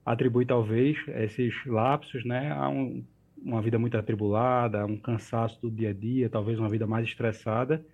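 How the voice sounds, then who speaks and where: A male geriatrician speaks on a radio call-in program in a mid-pitched voice. He explains in a calm, measured way, often checking in with a quick filler. His tone is reassuring and informative. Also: highs cut off. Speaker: doctor, geriatrician guest. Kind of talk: radio health advice.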